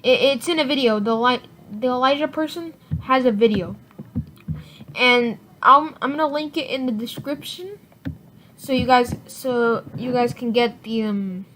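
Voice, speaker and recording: A woman giving a casual, unscripted software tutorial in an informal, rambling way, often correcting herself. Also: fairly high pitch, measured pace. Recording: noisy home recording with clicks.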